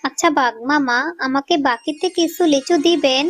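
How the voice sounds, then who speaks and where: A woman voicing a poor, gentle, weary fox mother in a cartoon, earnest and softly chiding. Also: sits high, normal speed, faint music behind, close to an everyday voice. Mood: pleading. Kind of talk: Bengali cartoon voice acting.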